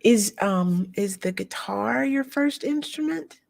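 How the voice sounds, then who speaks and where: A woman hosting a casual interview, chatty and quick to laugh, with short encouraging replies. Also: mid-range voice, animated, creaky, a little mellow. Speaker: host.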